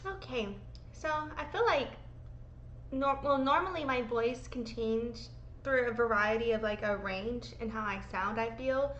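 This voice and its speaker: A woman's voice: on the high side, unhurried, a bit bright, muffled recording, a little hiss or hum. Woman vlogger in her thirties, under the weather with a sore throat, rambling and emotional, in a roomy-sounding space. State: sick, sore throat, voice lower than usual. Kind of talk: casual rambling vlog. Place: home room being packed up.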